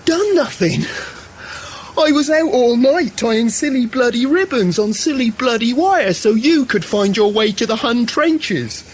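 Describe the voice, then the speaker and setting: An actor playing a wounded young soldier and morris dancer of the First World War in a radio play, earthy, sweary and bewildered. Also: male voice, very high-pitched, expressive, unhurried. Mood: indignant. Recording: noisy radio drama with battle sounds.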